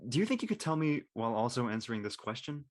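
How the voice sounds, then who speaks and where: A curious teenage boy, played by a man in a naturalistic table read. His mid-range voice is animated and casual, recorded very dry. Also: mid-range voice, fast, no room sound, close to an everyday voice. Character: curious, casual teenage nephew in high school.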